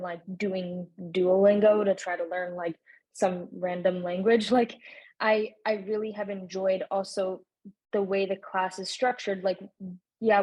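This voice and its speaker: Teenage girl, student, video call, flat intonation, chatty. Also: medium pitch, low-quality recording. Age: teens. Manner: chatty, many likes. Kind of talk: panel testimonial.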